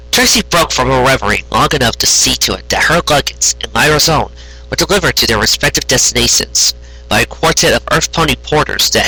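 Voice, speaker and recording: A man narrating a scripted story aloud in a rough, husky voice. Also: mid-range voice, pushed and bright, poor audio. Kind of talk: scripted fan fiction narration.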